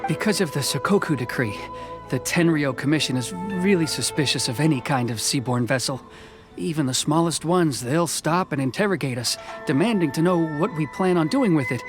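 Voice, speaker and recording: Male actor doing anxious game boatman, slightly high voice, halting and wary, music behind. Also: expressive, close to an everyday voice. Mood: wary, annoyed. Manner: stammering, wary. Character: nervous, cautious boat preparer. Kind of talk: video game character acting. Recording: background music under the voice.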